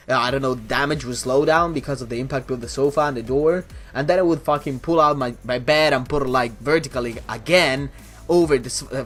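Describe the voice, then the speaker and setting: Young Italian man, swearing, rambling. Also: medium pitch. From Italy. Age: young adult. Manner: rambling, profane, frequent restarts. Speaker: YouTuber and streamer. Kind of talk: casual Q&A vlog.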